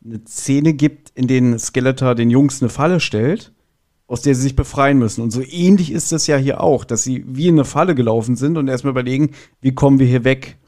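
A man's voice: medium pitch, fairly quick, darkish tone. A man in a casual podcast discussion, explaining his observations and thinking aloud. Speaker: podcast reviewer.

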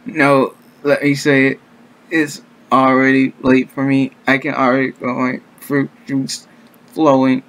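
A man voicing a lovestruck dying banana in a halting, melodramatic read-aloud of a visual novel. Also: mid-range voice, completely flat delivery, fairly bright tone, slight background noise, rough recording. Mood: dying, tender. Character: lovestruck dying banana, coughing out farewell words.

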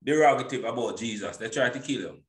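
A man's voice: medium pitch, quick pace. A middle-aged man hosting a casual, opinionated interview, emphatic and repeating himself for stress. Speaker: interview host. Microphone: boom-arm microphone, headphones. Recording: roomy, echoing home microphone.

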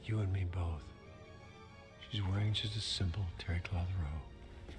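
An actor playing a knowing, wry, low-key ghost hunter in a video game. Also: male voice, measured pace, music in the background. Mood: wry, hushed.

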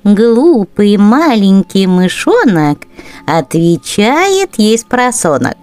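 Very slow, expressive woman storyteller. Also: mid-range voice, soft background music. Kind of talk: expressive children's verse narration.

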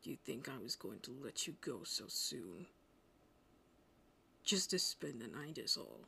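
An arrogant male anime vampire, acted out by a woman, speaks low and slowly to the listener. The voice is breathy and gravelly, swinging widely in pitch as it teases with cold, hungry smugness. It suits an intimate roleplay audio drama. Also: very animated, pushed and bright, slight background noise, heavily acted. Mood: bossy, possessive. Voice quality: low, breathy, often whispering. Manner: slow, smug, teasing.

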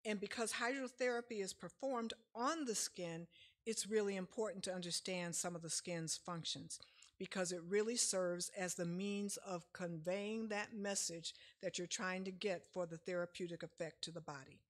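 A woman's voice: fairly low pitch, fairly even intonation, moderate pace. A middle-aged woman giving a casual health seminar at a church lectern, explaining in a chatty way with frequent fillers, picked up from a distance. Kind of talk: casual lecture with slides. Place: church sanctuary, speaking at a lectern.

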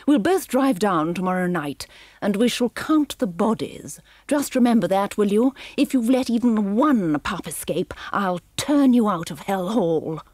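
Woman doing a storybook villainess, shrieking, imperious, gleefully cruel, husky, swooping pitch. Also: animated, a little slow, a little mellow, no room sound. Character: shrill cruel rich woman villain, fur-obsessed.

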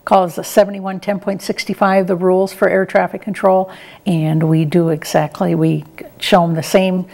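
A woman's voice: fairly low pitch, level tone, normal speed, vocal fry, darkish tone. A middle-aged woman answering interview questions in a conversational, plain-spoken and somewhat hesitant way. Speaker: interview guest, retired air traffic controller and professor.

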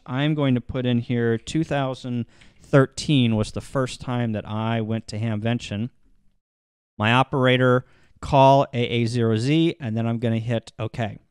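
Casting: male tutorial presenter, smooth clear voice, mid pitch, casual step-by-step instruction, close-miked. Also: no room sound. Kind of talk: casual software tutorial narration.

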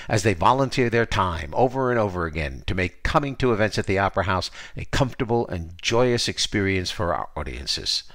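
Low-pitched older American man, podcast host. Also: fairly bright tone. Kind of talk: scripted podcast announcement.

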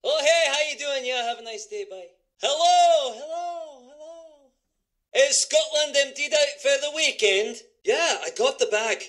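Game role, a secretive reluctant informant: high, bright voice, edgy and terse. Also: male voice, normal speed, few pauses. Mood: bored, restless. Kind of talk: video game voice acting.

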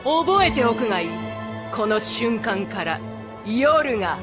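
A woman's voice: on the high side, very animated, a little slow, over music. A woman voicing a proud, regal pony princess in a dubbed cartoon, with pompous, archaic speech. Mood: menacing, mocking.

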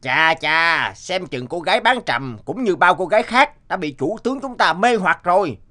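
A man voicing an excitable, gossipy soldier guarding a camp, exclamatory and blustering, in an animated tale. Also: high voice, moderate pace. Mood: gossipy, brisk. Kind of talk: Vietnamese animated moral tale voice acting.

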